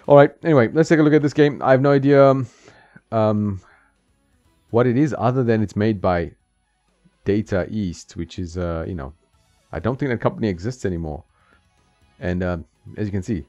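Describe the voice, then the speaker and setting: A man's voice: on the low side, a little mellow, dry recording, dull audio. A man streaming retro games, chatting casually and thinking aloud with lots of ums and small laughs. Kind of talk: casual gameplay commentary. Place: home streaming setup. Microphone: desk mic.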